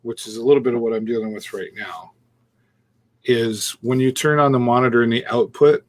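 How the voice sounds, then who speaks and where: A man of ordinary mid pitch co-hosting a casual live stream. He talks through his software setup step by step, chuckling and trailing off mid-sentence, speaking into a Bluetooth earpiece. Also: steady tone, fairly quick. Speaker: co-host demonstrating streaming setup.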